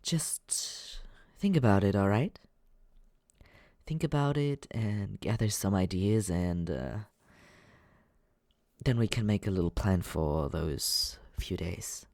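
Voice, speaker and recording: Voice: low voice, animated, takes it slowly, vocal fry, pauses often, a little mellow, no room sound, studio quality, full character voice. An actor voicing a teasing but caring young companion in an intimate, conversational ASMR comfort roleplay, hesitant and reassuring. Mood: musing, caring.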